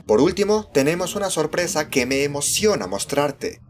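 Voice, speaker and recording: A man's voice: fairly high pitch, sing-song, normal speed, over music. A man with a Latin American Spanish accent reading scripted game trailer narration in an enthusiastic, promotional manner. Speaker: voice-over narrator of a fan dub.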